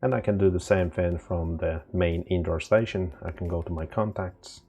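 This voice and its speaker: Deep, gravelly man explaining step by step. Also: fairly even intonation, moderate pace, warm tone, faint music behind. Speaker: technical instructor. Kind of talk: unscripted step-by-step software tutorial.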